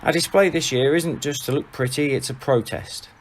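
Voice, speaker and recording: A man playing a perceptive, laid-back and quietly frank friend in an audio drama, unhurried and matter-of-fact. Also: fairly high pitch, slight background noise, close to an everyday voice. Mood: frank, calm.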